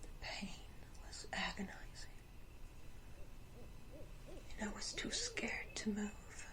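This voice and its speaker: A woman playing a sinister, wounded yet condescending female vampire in a whispered ASMR roleplay, speaking deliberately with theatrical pauses. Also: mid-range voice, wildly swooping pitch, rough recording. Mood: haunted, bitter. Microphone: close ASMR microphone.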